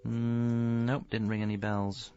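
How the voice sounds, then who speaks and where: Gravelly, deadpan, rueful male narrator. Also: medium pitch, slow. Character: rueful, self-pitying homeless husband narrating his misfortunes. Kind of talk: scripted comic narration, radio comedy. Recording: slightly dull audio, faint music.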